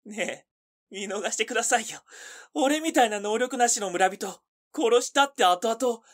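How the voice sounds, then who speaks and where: Woman acting a high-voiced, stammering villager. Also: moderate pace, lightly acted. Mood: frightened, pleading. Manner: stammering, pleading. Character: frightened, pleading young villager bargaining for life. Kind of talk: Japanese voice drama acting.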